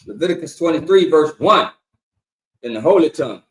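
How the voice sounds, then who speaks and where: A man reading scripture aloud to a gathering, sounding out each word slowly and haltingly. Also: on the high side, animated, a bit bright. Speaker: congregation member reading scripture. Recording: echoey hall, off mic.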